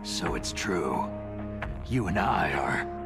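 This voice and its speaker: An actor playing a gruff, suspicious, hard-bitten soldier hero with a gravelly voice in a dramatic video game cutscene. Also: male voice, sits low, lively intonation, slow pace, heavily acted. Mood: grim suspicion. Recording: loud game music over the voice.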